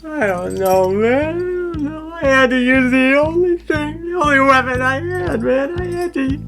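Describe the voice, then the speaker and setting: A man's voice: very high-pitched, measured pace, vocal fry, over music, exaggerated voice. A man playing a frantic, drug-addled killer in an audio horror drama, stammering and pleading in repeated bursts. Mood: desperate, tearful.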